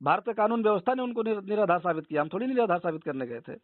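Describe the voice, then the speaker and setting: High-pitched insistent man on a phone line. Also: expressive, racing, dry recording. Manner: argumentative, insistent, repeats himself. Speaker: organisation leader debating a caller. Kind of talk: heated phone debate. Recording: tinny narrowband phone call recording.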